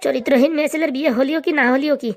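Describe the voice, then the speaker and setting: A cartoon actor voices an indignant young man with a rural Bengali accent. The voice is high and races along very fast, excitable and full of boastful swagger, as a wronged friend in a village comedy. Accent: Bengali, rural dialect.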